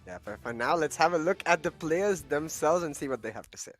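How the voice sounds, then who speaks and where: A man's voice: a little high, expressive, a little fast, fairly bright tone, faint music behind. A man hosting a live esports broadcast from the desk.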